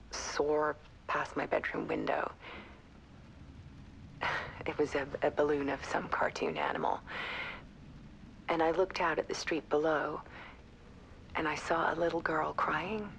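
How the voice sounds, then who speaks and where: An actress playing a haunted, obsessive woman photographer, speaking in a hushed, halting, breathy dramatic monologue. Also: deep voice, slight background noise, exaggerated voice, poor audio. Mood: wistful, haunted.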